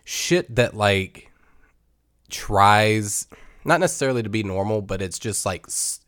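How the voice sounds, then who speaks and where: A podcast co-host talking in a halting, informal, sweary way in casual conversation. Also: deep voice, lively intonation, fairly bright tone, no echo, clean studio sound.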